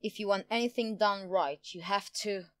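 A woman's voice: medium pitch, normal speed, dry recording, natural voice. A woman playing a smug, power-hungry politician in an audio drama, pompous and theatrical in manner. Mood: furious. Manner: theatrical, pompous, self-satisfied. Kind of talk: audio drama villain acting.

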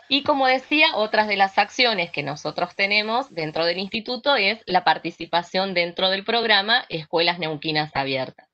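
A woman's voice: on the low side, sharp tone, muffled recording. A woman with an Argentine accent presenting an organization's work over a video call. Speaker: event presenter.

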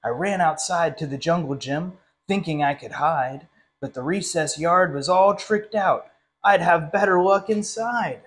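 Higher-voiced young man reading a storybook. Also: unhurried. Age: young adult. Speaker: literacy council director reading a story. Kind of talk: storytime picture-book reading. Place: small indoor classroom.

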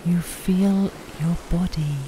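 Very slow, whispery woman guiding sleep relaxation. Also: fairly low pitch, fairly even intonation, a little hiss or hum, rough recording. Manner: soft, whispery, breathy delivery with long pauses. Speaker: guided relaxation narrator. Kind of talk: slow guided sleep meditation, scripted narration.

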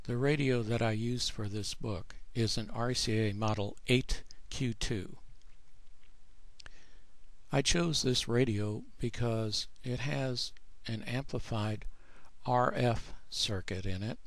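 A man narrating a screen tutorial as the author, explaining plainly in a measured way. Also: medium pitch, no room sound. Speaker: author presenting his own book.

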